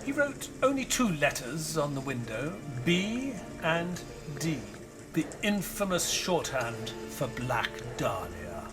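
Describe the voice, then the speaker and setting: An actor playing an elderly retired doctor and author in an audio drama, narrating in a measured, solemn, wistful way. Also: male voice, on the high side, big pitch swings, music in the background. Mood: reflective, solemn. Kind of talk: dramatic first-person scripted narration.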